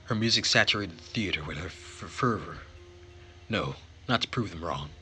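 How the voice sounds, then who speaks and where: A man reading a visual novel aloud as host and narrator, with measured, theatrical phrasing. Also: low-pitched, lively intonation, bright, forceful tone, a little hiss or hum. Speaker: let's play host and narrator.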